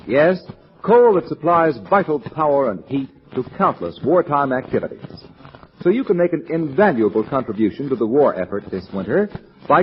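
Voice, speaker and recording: An American man reading a script as a radio announcer, with emphatic, dramatic delivery in an old-time 1940s style. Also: fairly high pitch. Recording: dull, lo-fi 1940s radio recording. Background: music.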